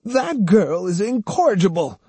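A man voicing a fierce, suspicious old woman in an audiobook, scolding and commanding in a strained, shrill way. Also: big pitch swings, measured pace, mellow, husky, no room sound, full character voice. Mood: bitter. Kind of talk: audiobook character acting.

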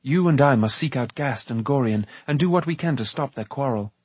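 A man's voice: medium pitch, animated, fairly quick, slightly rough, dry recording. A man voicing an earnest, humble young hero with sincere, formal speech in a storybook reading. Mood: resolute.

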